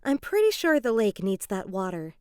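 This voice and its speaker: A woman giving an expressive, explanatory dramatized reading of an educational children's story. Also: medium pitch, normal speed, clean tone, no echo, studio quality. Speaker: children's book reader.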